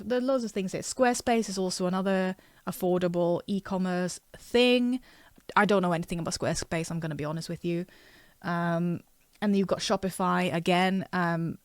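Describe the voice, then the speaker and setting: A woman, not a native English speaker, reads text aloud and keeps stopping to comment frankly. Her voice sits in an ordinary middle pitch. The sound is close and dry, with no room around it. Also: dry recording. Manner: stumbles over words while reading, chatty and frank. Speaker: artist and video creator. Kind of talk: reading a web page aloud with casual asides.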